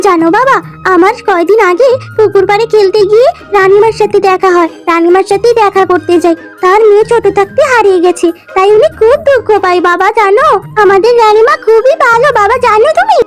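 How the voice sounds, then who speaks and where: A woman's voice: very high voice, a little fast. A woman voicing a stubborn, affectionate young village girl in a children's cartoon, earnest and a little whiny. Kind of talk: children's fairy-tale cartoon acting. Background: music.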